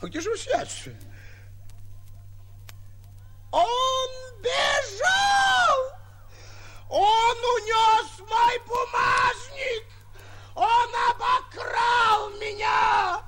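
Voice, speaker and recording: An actor playing a boastful but cowardly lion hunter in a theatrical 1940s radio comedy, stammering when frightened. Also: male voice, very high-pitched, extremely slow, bright, forceful tone, shouting, a faint crowd, heavily acted. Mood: frantic, wailing. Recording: old 1940s radio recording.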